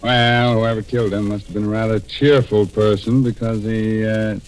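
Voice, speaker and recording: An actor playing a ghoulishly jovial, sly horror host with wry, drawn-out delivery on 1940s radio. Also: male voice, lowish voice, level tone, faint music behind, close to an everyday voice, rough recording. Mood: sly, macabre glee. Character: ghoulishly jovial male horror-show host, punning, mock-innocent.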